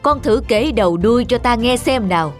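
An actor playing a calm, fair-minded middle-aged magistrate in a cartoon fairy tale, measured and authoritative. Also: male voice, very high-pitched, soft background music. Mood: measured, judicial.

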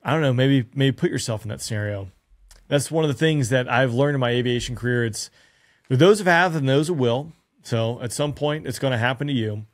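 An earnest man in his thirties talks straight to camera through a studio microphone in a small room. He coaches pilots in a conversational, practical way and keeps posing rhetorical questions. His voice sits at an ordinary middle pitch. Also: brisk. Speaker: former fighter pilot giving flying advice. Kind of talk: direct-to-camera instructional talk. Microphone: studio mic on stand in front of him.

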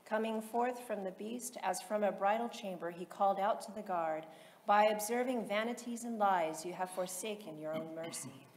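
A woman reading solemn liturgical texts aloud in a measured, formal, chant-like delivery. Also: mid-range voice. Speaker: liturgical reader in church. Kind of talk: solemn chanted recitation of psalms and hymns. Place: large reverberant interior. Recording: echoey reverberant hall, loud background noise.

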